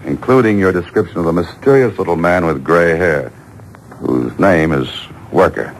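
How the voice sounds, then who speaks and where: A man's voice: low voice, moderate pace. An American actor playing a coolly menacing, wry and taunting man on the run in an old-time radio drama. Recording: noisy old radio show taped off air.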